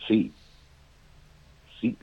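A man calling in, telling a personal story in an animated way. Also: extremely slow, phone-quality sound, a little hiss or hum. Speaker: caller.

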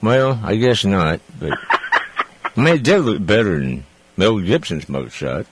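Middle-aged male radio host, very low-pitched, folksy and easygoing, conversational. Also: sing-song, unhurried, background noise. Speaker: radio talk show host. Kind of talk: casual chat.

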